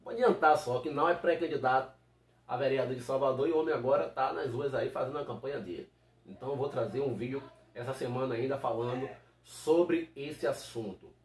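A man's voice: medium pitch, fairly quick. A middle-aged man talking casually to camera as host, in a small room. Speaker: channel host. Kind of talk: casual vlog introduction to camera. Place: small home room with computer, indoors. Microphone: camera a short distance away. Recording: roomy, slightly distant microphone.

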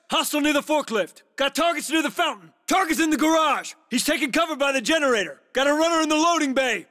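An actor playing an urgent, battle-hardened special forces soldier in a military shooter game, barking clipped, commanding combat callouts. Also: male voice, very high voice, sharp tone, long reverb tail, full character voice.

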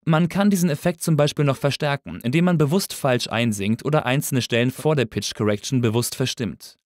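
A man narrating a scripted tutorial as an instructor, explaining things clearly and methodically. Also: medium pitch, fairly quick, a little mellow, no echo, clean studio sound. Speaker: audio engineering instructor.

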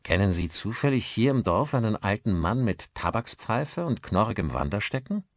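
A man's voice: fairly low pitch, big pitch swings, normal speed, dry recording, voice pushed up. A man voicing a polite, earnest male character with a courteous, inquiring manner.

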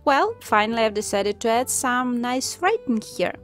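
A woman calmly narrating a step-by-step craft tutorial as the channel host, in voice-over. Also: fairly high pitch, normal speed. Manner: calm, instructive. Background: soft background music.

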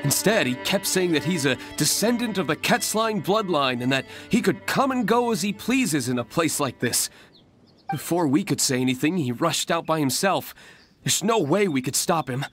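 A man playing an anxious, apologetic young village hunter in a video game, earnest and halting. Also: on the high side, lively intonation, fairly bright tone. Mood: sheepish, worried. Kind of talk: scripted video game dialogue. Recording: music behind. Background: background game music.

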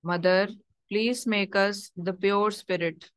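A woman leading a guided meditation, giving gentle instructions and prayers in measured short phrases with long pauses. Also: mid-range voice, fairly even intonation, clear, resonant tone, dry recording.

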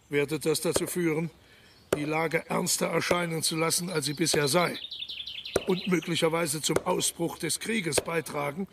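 Imperious monarch, radio drama; raised pitch with gravel, self-assured, dismissive, noisy backdrop. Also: male voice. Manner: commanding, dismissive. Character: imperious, self-assured German emperor on the eve of war. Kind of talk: historical radio drama acting.

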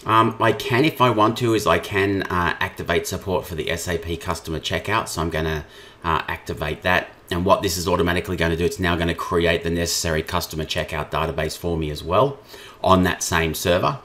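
A man giving an informal screen-share tutorial, explaining things step by step as a software trainer. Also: low-pitched, brisk.

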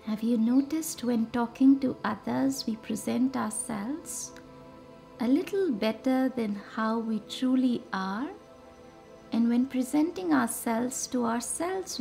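Female meditation guide, unhurried and mellow with a touch of fry, over a music bed. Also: on the high side. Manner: soothing, measured delivery in short pausing phrases. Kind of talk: guided meditation with slow, reverent visualisation.

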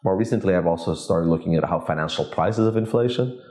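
A man in his forties from Portugal, an economics professor giving measured, academic interview answers in an office. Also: sits low, darkish tone, reverberant. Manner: measured, academic, thinks aloud with restarts. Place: office with glass walls, indoors.

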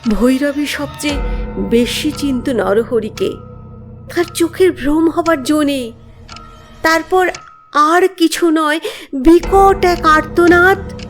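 A woman narrating a horror story in a measured, expressive, dramatic way. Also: sits high. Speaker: audiobook narrator of horror stories.

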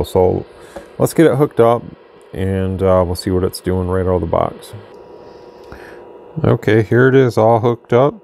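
Man, very low voice, creaky fry, casual hands-on demo narration, faint background noise. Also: warm tone. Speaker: radio product demonstrator. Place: indoor electronics workbench.

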